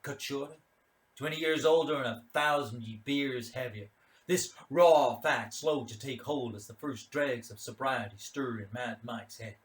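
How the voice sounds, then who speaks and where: A man's voice: medium pitch, measured pace. A man reading a literary short story aloud as a dramatic narration. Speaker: story reader.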